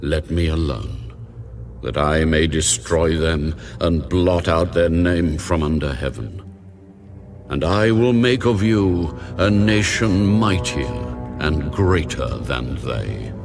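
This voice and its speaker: Angry almighty god in bible drama, very low, slow and commanding, faint music. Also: male voice, expressive, darkish tone, exaggerated voice. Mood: wrathful, commanding. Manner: authoritative, solemn, declaratory. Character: mighty male God, wrathful and commanding. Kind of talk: dramatized audio Bible acting.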